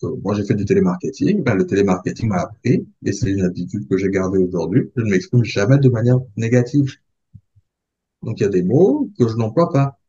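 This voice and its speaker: A man in his forties from the Republic of the Congo, a podcast guest who speaks in a measured, thoughtful way with frequent hesitations. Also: fairly low pitch, lively intonation, mellow, dull audio. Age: 40s. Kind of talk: reflective interview talk.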